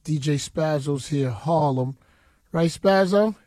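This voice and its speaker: A man's voice: fairly high pitch, dark tone. A man from the Bronx, hosting a radio show and chatting casually with his guests. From the Bronx, New York. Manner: easygoing, asks quick friendly questions. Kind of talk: casual on-air interview. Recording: noisy background.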